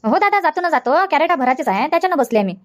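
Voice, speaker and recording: A woman voicing a hurried, placating farm labourer in a comic cartoon. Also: high-pitched, very animated. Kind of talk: comic cartoon dialogue dubbing.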